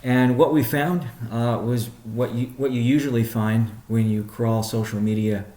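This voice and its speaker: Low-voiced guy, mellow and halting, ceo fielding questions on a conference call, roomy echo. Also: fairly even intonation. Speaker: company chief executive on a conference call. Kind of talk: answering read-out questions on a business conference call.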